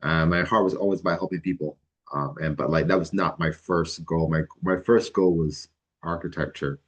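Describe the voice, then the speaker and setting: Very deep-voiced man, halting. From Barbados. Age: middle-aged. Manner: halting, many ums and restarts, relaxed. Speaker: guest, licensed mental health counselor. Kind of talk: casual interview answers.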